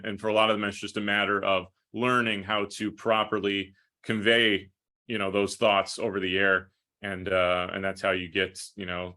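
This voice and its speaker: A man's voice: sits low, sharp tone. An American man who works as a sports broadcaster, talking conversationally and at length in thoughtful, detailed interview answers. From United States, upstate New York. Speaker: hockey play-by-play broadcaster.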